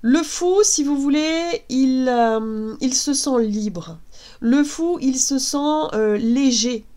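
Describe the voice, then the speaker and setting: A woman with a congested head cold gives a casual tarot reading, gentle and reassuring, hesitating often with fillers and restarts. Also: sits high, slow pace. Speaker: tarot card reader.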